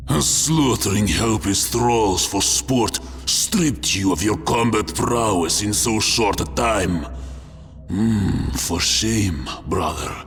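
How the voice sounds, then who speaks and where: A man voicing a brutal, grieving super-soldier in a science-fiction audio story, with gravelly, snarling, scornful enunciation. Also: fairly low pitch, animated, takes it slowly. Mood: cold contempt. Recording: long cavernous reverb over music.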